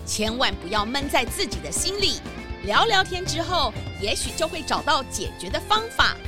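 High, lively woman narrator for children. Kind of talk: scripted address to children.

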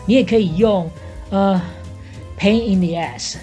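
一位台灣腔中文的女性播客主持人，閒聊中帶點調侃，又像老師一樣解釋用語。. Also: female voice, mid-range voice, a little slow, mellow, faint music behind. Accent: Taiwanese Mandarin. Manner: teasing, instructive. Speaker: podcast co-host, bartender persona. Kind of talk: casual chat, explaining slang.

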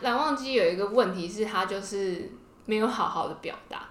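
A Taiwanese-accented woman co-hosting a casual podcast chat, explaining stories in an expressive fan's manner. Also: mid-range voice, fairly quick. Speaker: podcast co-host. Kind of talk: casual panel chat about fiction. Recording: roomy, echoey sound.

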